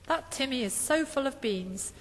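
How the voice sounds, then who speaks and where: A woman puts on a grumbling Christmas present for a puppet show, fussy and sardonic. The voice is high and echoes in a hall. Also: lively intonation, normal speed, slight background noise. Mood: fretful.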